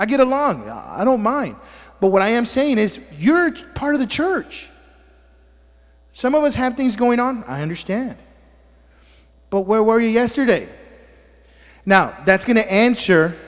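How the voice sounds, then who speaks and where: High-voiced pastor guy, spanish first language, keeps teasing folks and asking amen, big churchy reverb. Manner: teasing, joking asides, frequent "amen?". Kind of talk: sermon. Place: church sanctuary.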